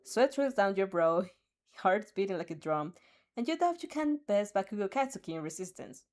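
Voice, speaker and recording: A young woman reading a second-person fanfic aloud, expressive and giggling at her own slips. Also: mid-range voice, moderate pace. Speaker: fanfic reader.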